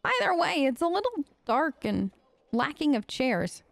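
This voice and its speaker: A woman's voice: high voice, big pitch swings, measured pace, creaky, no room sound. A woman giving casual, wry gaming commentary as a let's play host, chatting in character on a close mic.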